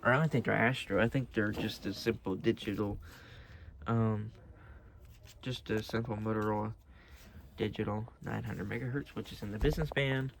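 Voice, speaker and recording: A man's voice: lowish voice, fairly even intonation, normal speed, gravelly, a little hiss or hum. An American man talking casually and unscripted as he shows off a collection, rambling and hesitant, often correcting himself. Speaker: radio collector showing off collection. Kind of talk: casual, unscripted show-and-tell. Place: indoors at home.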